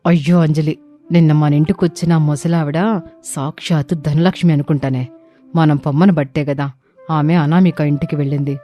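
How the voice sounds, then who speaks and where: A woman's voice: sits low, steady tone, moderate pace, warm tone, soft background music, natural voice. A woman playing an envious village sister-in-law in a moral story, with plaintive phrasing. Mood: envious, rueful. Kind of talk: acted moral story dialogue.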